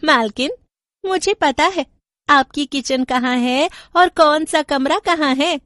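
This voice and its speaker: A woman's voice: high voice, slow, fairly bright tone, dry recording, studio quality, pitched above the performer's own voice. A woman voicing an eerily knowing, servile housemaid in a horror story, deferential yet self-assured. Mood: knowing, amused.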